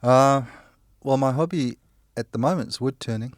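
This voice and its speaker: A man's voice: mid-range voice, normal speed, no room sound, studio quality. A man in his sixties from Kenya and Zimbabwe, a teacher and handyman, talking in a casual family interview with self-deprecating, chuckling humour.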